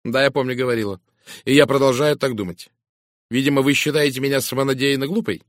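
A man voicing a brusque, impatient, outspoken woman in an audiobook, with a curt, sharp and emphatic delivery. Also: medium pitch, fairly even intonation, fast, no room sound, clean studio sound, voice pushed up, close to an everyday voice. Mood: indignant. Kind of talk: dramatised audiobook dialogue.

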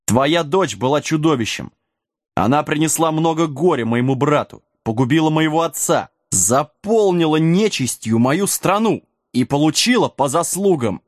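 A man's voice: fairly high pitch, animated, normal speed, no room sound, clean studio sound, voice pushed up, natural voice. A man playing a bold, proud and defiant warrior king in a fantasy audiobook. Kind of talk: audiobook character acting.

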